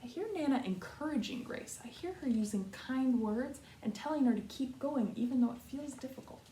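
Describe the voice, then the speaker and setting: An American woman, a kindergarten teacher, giving a read-aloud lesson to young children in simple, deliberate phrases with repetition. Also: high-pitched, animated, warm tone. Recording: roomy echo, distant mic, faint noise.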